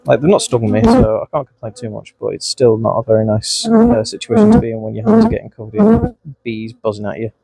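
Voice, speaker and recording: A man's voice: mid-range voice, very animated, fast, gravelly, hardly any pauses, warm tone, slightly rough. Chatty Scouse-Wigan beekeeper man. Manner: chatty, talks to his bees, voice jumps up when startled. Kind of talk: hands-on vlog narration. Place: outdoors at beehives in a bee suit. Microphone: mic clipped close, bees crawling on it.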